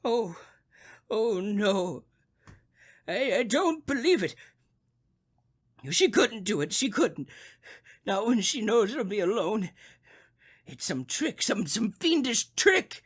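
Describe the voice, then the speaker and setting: A man's voice: high-pitched, very animated, slow, pauses often, slightly rough, heavily acted. An actor playing a crotchety, panicky old invalid man in an old radio thriller, stammering and fretful in a melodramatic way. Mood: shaken, stunned. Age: older.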